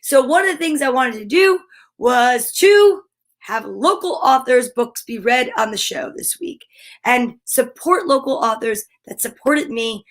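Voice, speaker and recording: A woman with a fairly high voice hosts a story time for children. She is upbeat and encouraging, moving between reading aloud and chatty asides to her viewers. Her manner is gushing and animated.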